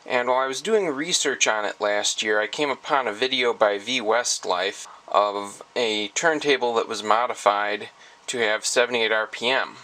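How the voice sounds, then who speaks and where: A man's voice: fairly low pitch, normal speed, pushed and bright. A man presenting a hobbyist tech demonstration, explaining his device modification in a methodical, technically precise way.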